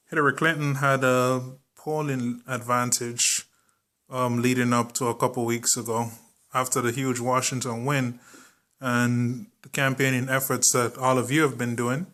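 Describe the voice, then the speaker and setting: Man, Jamaican accent, young adult, bright clear tone, casual vlog commentary, chatty, lots of ums. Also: medium pitch. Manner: chatty and informal, many ums, occasional swearing. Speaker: political vlogger. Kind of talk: casual political commentary to camera.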